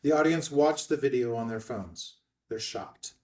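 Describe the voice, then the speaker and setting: Male narrator, scripted stage-direction reading, average pitch, slightly off-mic. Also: unhurried, darkish tone. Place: indoor room at a filmed table read. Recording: slightly distant room microphone.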